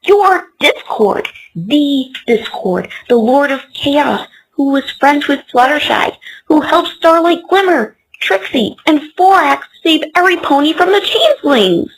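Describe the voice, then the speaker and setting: A woman's voice: high voice, lively intonation, measured pace, few pauses, poor audio. A woman voicing an earnest, eager young pony in a comic fan dub.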